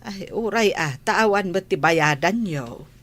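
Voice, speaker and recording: Actress as practical Ilocano mother, unhurried speech, medium pitch, radio drama. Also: expressive, a bit bright. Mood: advising. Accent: Ilocano Filipino. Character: slow-talking, practical mother giving advice.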